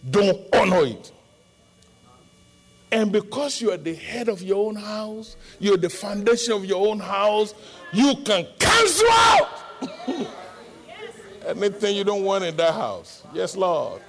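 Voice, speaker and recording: Nigerian-accented male pastor, middle-aged, high lively voice, slow emphatic delivery that breaks into shouts. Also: a little hiss or hum. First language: Yoruba. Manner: emphatic preaching, bursts into shouting. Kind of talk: sermon.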